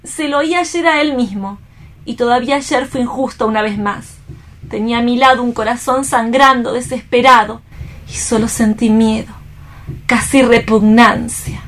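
Woman acting a young lady in love, radio drama, kinda high voice, earnest, full of remorse and anguish. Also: fairly even intonation, lightly acted. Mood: resolute, remorseful. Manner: earnest, melodramatic, deliberate phrasing. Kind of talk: staged radio theatre reading.

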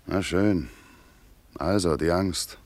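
A village doctor with a very low, creaky voice. He grumbles drily and dismisses what he hears, sceptical and impatient, in radio drama style. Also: slow pace, a little mellow, slight background noise. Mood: dry, dismissive. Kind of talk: German radio drama acting.

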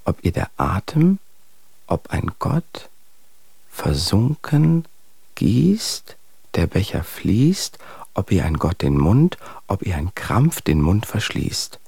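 An actor in a German radio drama playing a dark, obsessive romantic poet who recites verse in a halting, incantatory way, creaky and breathy. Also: male voice, deep voice, very animated, dry recording, exaggerated voice. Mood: haunted. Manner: incantatory, halting, swooping delivery.

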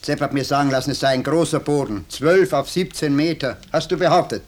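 An actor playing a sly, calm intruder with falsely deferential politeness, in 1950s German radio drama style. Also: male voice, a little high, brisk, close to an everyday voice. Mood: unruffled. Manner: calm, mock-polite, reproachful.

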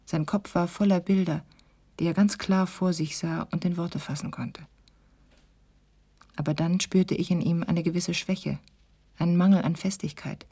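A woman's voice: lowish voice, warm tone. An actress playing a candid, reflective woman reading out her confessional letter to a lover in a radio play. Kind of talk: letter read in radio drama.

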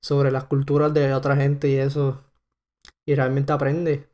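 A young man from Puerto Rico with a Puerto Rican accent, chatting casually on a podcast and filling pauses with "este". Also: mid-range voice, completely flat delivery, dark tone. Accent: Puerto Rican Spanish. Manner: rambling, heavy on fillers. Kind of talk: casual chat.

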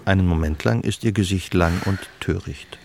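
An actor narrating as a detached, observant storyteller in a scripted literary radio drama. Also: male voice, deep voice, moderate pace, vocal fry, a little mellow, a little hiss or hum. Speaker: third-person narrator.

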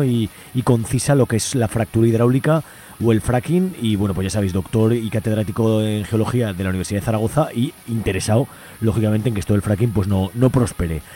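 A male radio host talks quickly in a mellow, relaxed voice. His presenting is informal and conversational, peppered with little tag questions. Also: lowish voice, slight background noise.

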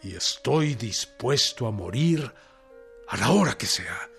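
Proud Mexican revolutionary general, sweeping delivery. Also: male voice, medium pitch, sing-song, slow, vocal fry, a bit bright, exaggerated voice. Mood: fervent, defiant. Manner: solemn, emphatic oratory. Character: proud, indignant revolutionary peasant general dictating a defiant letter. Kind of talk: dramatic radio acting, declamatory. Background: music.

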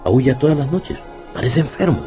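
An actor playing a respectful, plain-spoken helper in a radio drama, earnest and deferential. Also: male voice, medium pitch, very animated, brisk, music in the background, natural voice. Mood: worried.

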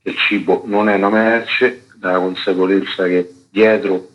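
Man, low pitch, activist interviewee, spontaneous explaining, slightly muffled lo-fi radio recording. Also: flat delivery, moderate pace. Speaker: interviewed activist and event organiser. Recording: dull lo-fi radio sound.

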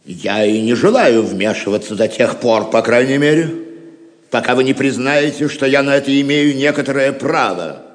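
An actor playing a noble, dignified medieval king in an audio drama, speaking in a formal, measured courtly manner. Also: mid-range voice, lively intonation, natural voice. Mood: solemn. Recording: long hall reverb.

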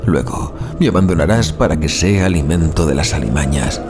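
A man playing a doomed, morbidly obsessed passenger in a horror audio drama, delivering a slow, brooding literary monologue. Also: extremely low pitch, lively intonation, loud music behind the voice. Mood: morbid, resigned. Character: doomed male passenger, obsessed with his murderous chauffeur.

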